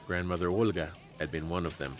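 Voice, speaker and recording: A man giving a dramatic, scripted story reading in a measured, solemn and theatrical way. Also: deep voice, faint music behind.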